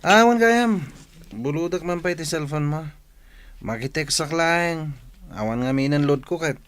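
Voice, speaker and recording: A man's voice: a little high, unhurried, fairly bright tone. A man playing a cheeky, boastful young village schemer in a radio comedy drama, teasing and exclaiming.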